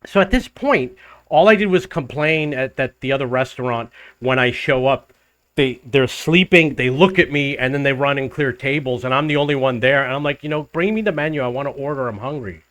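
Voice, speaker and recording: Older man, loud voice, mid-pitched, casual rambling storyteller, dead dry sound. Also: brisk, dry recording. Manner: rambling, restarts and loops back in the story. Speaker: vlogger living abroad.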